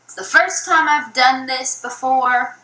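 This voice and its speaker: A young woman narrating a casual food vlog in a flat, low-energy way, with many ums. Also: unhurried, poor audio. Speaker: YouTuber. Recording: old video played from a phone.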